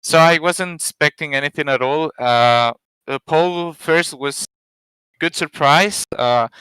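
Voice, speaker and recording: Bright, crisp-voiced hesitant male driver. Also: on the high side, no echo, low-quality recording. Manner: hesitant, halting with fillers. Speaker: racing driver being interviewed.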